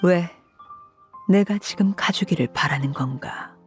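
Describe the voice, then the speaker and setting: A woman voicing a cool, composed matriarch in an anime game, speaking in a measured, formal and aloof manner. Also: lowish voice, soft background music, close to an everyday voice. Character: cool, commanding woman, composed head of an orphanage family.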